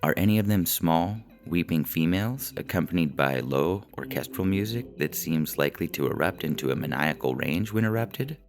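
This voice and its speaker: A man playing an unflappable call-center agent in an audio story, speaking in a polite, deadpan, bureaucratic way. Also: deep voice, normal speed, hardly any pauses, soft background music. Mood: methodical.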